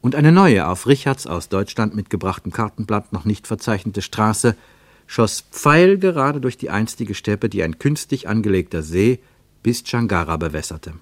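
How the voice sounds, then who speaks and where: A man narrating a radio play in a measured, descriptive, poetic manner. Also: lowish voice, expressive, dry recording.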